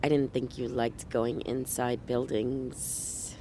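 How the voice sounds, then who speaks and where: An actress playing a troubled, guarded young woman in a noir audio drama, with a cool, coy, deliberate delivery. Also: extremely low pitch, flat delivery, warm tone, a little hiss or hum, exaggerated voice. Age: young adult.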